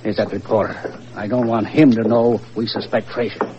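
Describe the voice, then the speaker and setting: Actor as a clipped, businesslike police inspector. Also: male voice, fairly low pitch, fairly even intonation, moderate pace, a crowd in the background, lightly acted. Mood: grim, brisk. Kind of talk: old-time radio thriller acting. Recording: dull, slightly noisy old radio recording.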